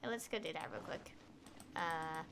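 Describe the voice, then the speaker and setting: A woman's voice: low voice, normal speed, gravelly, noisy background. A woman doing casual live game commentary, rambling and thinking aloud as she plays. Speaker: game streamer. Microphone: headset. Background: game music.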